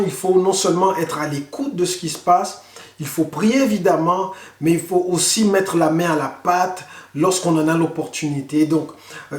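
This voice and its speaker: A man, a pastor, giving an earnest online sermon and slowing down to stress key words. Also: high voice, darkish tone. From Canada. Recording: echoey room.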